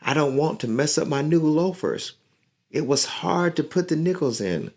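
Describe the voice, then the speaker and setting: A man puts on an earnest young boy from a storybook, his voice lifted well above a man's usual range. The boy pleads and whines, high and eager, in a read-aloud for children. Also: animated, normal speed. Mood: pleading.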